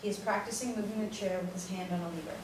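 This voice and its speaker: A woman's voice: mid-range voice, steady tone, fast. Woman narrator in a very echoey room. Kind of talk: scripted narration of stage directions. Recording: very echoey room, distant mic, noisy.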